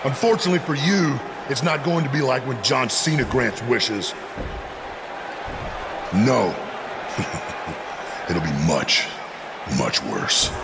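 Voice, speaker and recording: A man playing a sinister wrestler in a video game, giving an ominous, sardonic and deliberate threat. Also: medium pitch, very animated, a loud crowd, heavy background noise.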